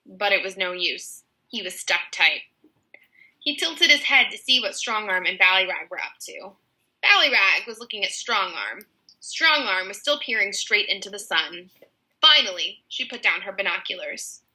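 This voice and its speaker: A woman narrating a children's book aloud with an expressive storyteller's delivery. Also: mid-range voice, moderate pace, rough recording.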